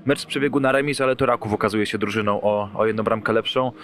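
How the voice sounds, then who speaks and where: A man working as a club TV reporter, asking post-match questions in a brisk, leading way. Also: lowish voice, steady tone, a bit bright.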